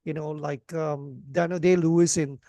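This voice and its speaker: A middle-aged man talking casually as an actor guest on a podcast interview, relaxed and rambling, often asking "you know what I mean" and laughing. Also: fairly high pitch, normal speed, no room sound. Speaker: actor and action choreographer guest.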